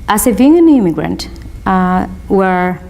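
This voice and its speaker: Woman, Spanish accent, law student in an interview; sincere, encouraging, halting second-language phrasing, mid pitch. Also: big pitch swings, darkish tone. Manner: earnest and motivational, halting non-native phrasing. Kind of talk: interview, personal testimony.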